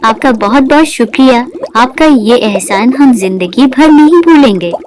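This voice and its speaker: A woman's voice: on the high side, animated, normal speed, a little mellow, close to an everyday voice. A woman playing a caring, humble mermaid mother in a cartoon story. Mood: grateful. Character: caring mermaid mother who sells biryani, gentle, humble. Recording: clear background noise.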